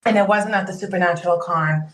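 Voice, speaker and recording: A woman's voice: fairly low pitch, fast talker, roomy sound. A woman guest on a podcast, casually sharing her own experiences as a fan. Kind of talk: casual personal storytelling.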